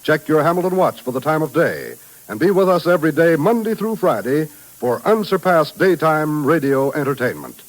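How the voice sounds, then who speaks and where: A man's voice: a little high, animated, moderate pace, poor audio. An American man announcing on 1950s radio, reading a script in a polished, declamatory way. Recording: vintage radio broadcast, faint music.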